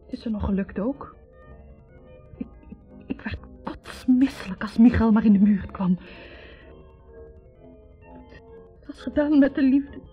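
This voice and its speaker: An actress playing a wounded young woman in a 1960s radio drama, bitterly and haltingly confessing a painful past. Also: on the high side, soft background music, lightly acted. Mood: agitated, bitter. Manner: hesitant, halting, introspective. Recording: dull vintage radio recording.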